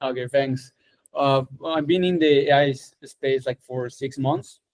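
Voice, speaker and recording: A man's voice: mid-range voice, fairly even intonation, unhurried, a little mellow. A young man from Colombia with a Colombian accent, a Spanish speaker introducing himself on a video call, hesitant and full of filler words. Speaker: course student.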